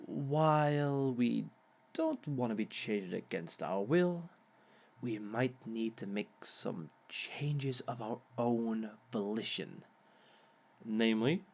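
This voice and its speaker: A smug villain lecturing on love, as a man plays him, taking his time over each line and chuckling at his own advice. His teasing is mock-sympathetic, and he speaks at a middling pitch for a man. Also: expressive, slow pace, vocal fry, a little mellow. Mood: wry, amused. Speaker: villain relationship expert. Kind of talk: audio roleplay monologue.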